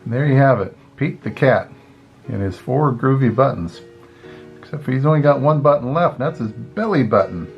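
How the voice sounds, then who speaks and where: A man's voice: medium pitch, animated, moderate pace, creaky, muffled recording, faint music behind. An older man, a grandfather talking to children in a folksy, rambling, casual storytelling way. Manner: folksy, rambling, often saying "anyway". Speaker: grandfather reading picture books to children.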